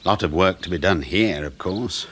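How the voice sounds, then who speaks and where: A British actor playing a friendly, chatty suburban neighbour in a 1970s radio play, polite and slightly hesitant. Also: male voice, sits low, normal speed, fairly bright tone, a little hiss or hum. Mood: chatty, keen.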